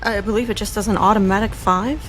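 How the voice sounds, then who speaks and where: A woman's voice: medium pitch, steady tone, fast talker, poor audio. A woman player describing her character's action in a casual, matter-of-fact way. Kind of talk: tabletop game play. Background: music.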